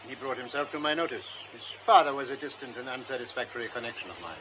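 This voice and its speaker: Male actor as reserved upper-class gentleman. Proud, clipped, formal. Muffled radio drama. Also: medium pitch, animated, fast, a little hiss or hum, close to an everyday voice. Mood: stiff, curt.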